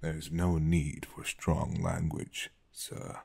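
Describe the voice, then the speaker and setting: Guy acting a stern condescending village man in an asmr roleplay, super deep raspy voice, formal and measured. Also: monotone, a little mellow, full character voice. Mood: stern, disdainful. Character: stern, self-righteous male villager guarding village affairs.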